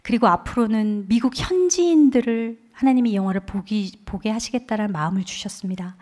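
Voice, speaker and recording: A woman's voice: on the high side, a little fast. A woman in her forties giving a heartfelt testimony as a guest speaker at a church revival, telling her story with earnest conviction into a microphone. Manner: earnest storytelling, quoting others with lively emotion. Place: church sanctuary.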